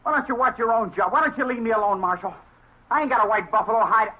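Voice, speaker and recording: A man's voice: sits high, fast. An actor playing a defiant, hot-headed young city boy among buffalo hunters in a radio western. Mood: defiant, angry. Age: young adult. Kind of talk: old-time radio western drama acting. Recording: muffled vintage radio recording.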